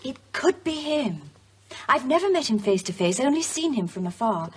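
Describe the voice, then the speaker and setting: An actress playing a grave widow in a radio drama, speaking in a measured, articulate way with pauses. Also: female voice, high-pitched, sing-song, a little hiss or hum. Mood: guarded, thoughtful. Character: grave widow recounting her late husband's ordeal.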